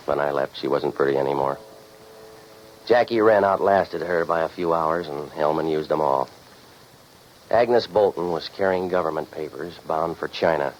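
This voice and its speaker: An American actor playing a sardonic, hard-boiled private eye who narrates his case deadpan in 1940s radio noir style. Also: a bass voice. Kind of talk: 1940s radio noir acting, scripted first-person narration. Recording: muffled, noisy 1940s radio recording.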